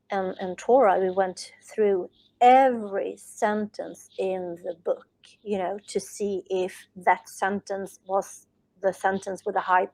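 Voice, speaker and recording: A Swedish woman speaks as an author guest in an interview, her English carrying a Swedish accent. She talks earnestly, circling back and restarting as she searches for words. Her pitch sits in an ordinary middle range. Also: a little mellow, rough recording. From Sweden. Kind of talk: podcast interview.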